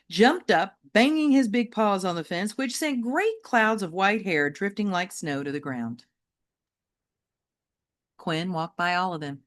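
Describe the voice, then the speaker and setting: Female children's book narrator, slightly low register, highly animated, expressive storytelling with varied pace. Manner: animated, dramatic storyteller delivery with varied pacing. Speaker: teacher reading a novel aloud.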